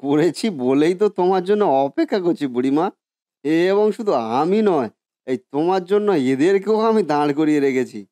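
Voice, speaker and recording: A man's voice: on the high side, lively intonation, brisk, warm tone, dry recording, close to an everyday voice. A man voicing a genial, folksy village cook in a cartoon story, eager, reassuring and respectful. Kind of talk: Bengali cartoon story voice acting.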